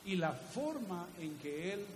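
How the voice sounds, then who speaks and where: Male preacher, high-pitched voice, instructive delivery, recorded in a very reverberant hall. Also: sing-song, slow pace. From Guatemala. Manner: didactic, with rhetorical questions and repeated key phrases. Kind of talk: teaching sermon. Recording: echoey hall reverb with faint hiss.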